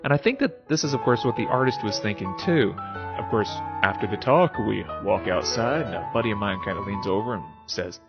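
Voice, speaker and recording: A man's voice: lowish voice, brisk, dull audio, over music. A man giving an informal explanatory monologue as a host, conversational and musing, often posing rhetorical questions. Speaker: host of a philosophy series.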